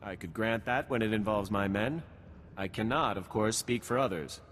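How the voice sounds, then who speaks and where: A man's voice: fairly low pitch, normal speed, a bit bright, a little hiss or hum, lightly acted. Businesslike army lieutenant played by a man, reverberant. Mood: satisfied, measured. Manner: businesslike, briefing tone. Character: practical, decisive male Republic army lieutenant. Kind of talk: video game voice acting.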